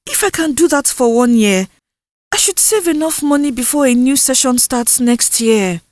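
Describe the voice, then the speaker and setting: A woman's voice: high voice, expressive, moderate pace, darkish tone, dry recording, studio quality, voice pushed up. A woman storyteller voicing a determined, emotional teenage village girl who pleads and defies in a folktale. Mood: hopeful, determined.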